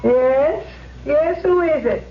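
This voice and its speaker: A woman's voice: sits high, slow, over loud music. An actress playing an irritable old woman in a radio drama, querulous and complaining. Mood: annoyed. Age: older. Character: irritable old landlady woken at night. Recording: dull, noisy old radio broadcast.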